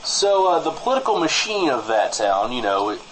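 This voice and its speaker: A man co-hosting a comedy podcast on a studio mic, bantering through mock local news in a deadpan, rambling way. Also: medium pitch, moderate pace, rough recording. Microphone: studio microphone. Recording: faint background noise.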